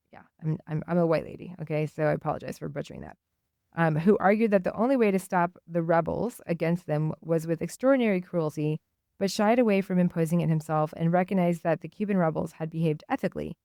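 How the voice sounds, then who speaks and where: Woman, radio host, low pitch, clear resonant tone, informal hesitant commentary. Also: warm tone, no room sound, clean studio sound. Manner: hesitant, informal, halting delivery. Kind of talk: casual spoken commentary and reading aloud on radio.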